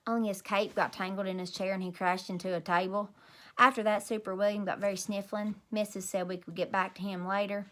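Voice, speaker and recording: A woman reading a children's story aloud in an expressive, emphatic way, like a teacher. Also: medium pitch, a little fast. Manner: animated, emphatic.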